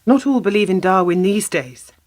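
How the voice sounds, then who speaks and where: A woman plays a sceptical secretary in a radio drama, drawn into secret business. Her voice sits at an average pitch, and she probes inquisitively, wary of what she is told. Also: lively intonation, normal speed, darkish tone, lightly acted. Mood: probing, sceptical. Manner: inquisitive, pressing with short questions. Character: questioning, sceptical female typist drawn into secrecy. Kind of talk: radio play acting.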